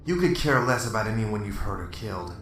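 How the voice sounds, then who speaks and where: A man's voice: lowish voice, expressive, a little fast, a bit bright, recorded from a distance. A man playing a stern, exasperated male police detective running an interrogation, recorded roomy and off-mic with music under it. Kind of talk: amateur radio drama acting.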